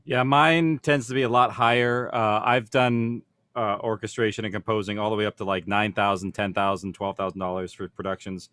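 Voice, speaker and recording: A man's voice: on the low side, steady tone, brisk, a bit bright, no echo. A man speaking as a producer on an informal panel, explaining his working process conversationally. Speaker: audio drama producer and panelist.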